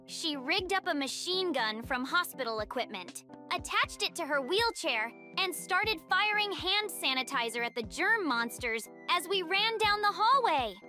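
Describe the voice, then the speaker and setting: Woman as feisty cartoon kid hero, extremely high, swooping intonation, emphatic, excitable. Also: a little slow, hardly any pauses, soft background music, heavily acted. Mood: excited. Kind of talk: cartoon comic dub acting.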